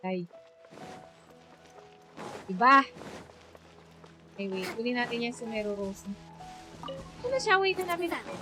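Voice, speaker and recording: A woman with a Filipino accent giving expressive, casual live game commentary, chatting to her viewers. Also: on the high side, moderate pace, long pauses. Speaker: game streamer. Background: game music.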